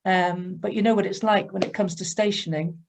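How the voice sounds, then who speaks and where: Woman, church leader, measured, mid-pitched, video call. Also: steady tone, vocal fry, background noise.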